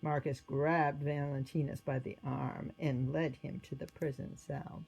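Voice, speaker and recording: Husky, low-pitched woman storyteller. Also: level tone, unhurried, darkish tone, poor audio. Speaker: story reader, narrator. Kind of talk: read-aloud children's religious story narration.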